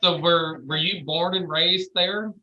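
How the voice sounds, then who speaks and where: A man's voice: on the high side, steady tone, measured pace, muffled recording. A man hosting a casual interview, stumbling and repeating words as he jokes along. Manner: stammering, repeats words, jokey. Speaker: student show host.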